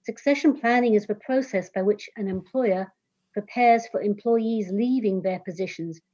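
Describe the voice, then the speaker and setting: A woman's voice: mid-range voice, mellow. English-accented woman on a muffled call. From Scotland, raised in England. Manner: measured, formal and precise. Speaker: senior management auditor. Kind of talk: formal self-introduction at a public meeting. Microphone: remote call audio. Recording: dull lo-fi video call audio.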